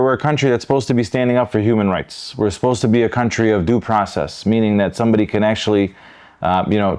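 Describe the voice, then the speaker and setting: A man's voice: medium pitch, a little mellow. American man in casual discussion. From Detroit, United States. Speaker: podcast host, lawyer.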